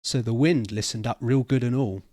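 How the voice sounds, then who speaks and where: A man's voice: mid-range voice, a little fast, darkish tone, dry recording, clean studio sound, lightly acted. A man voicing an opinionated old cockney pub storyteller, theatrical and emphatic, thick with slang. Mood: relishing.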